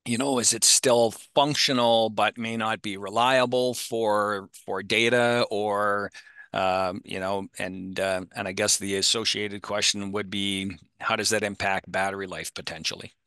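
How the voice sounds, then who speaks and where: Bright, low-pitched man, very dry sound. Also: little pitch movement, measured pace, clear, resonant tone, no room sound. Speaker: attendee asking questions. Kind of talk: casual webinar questioning.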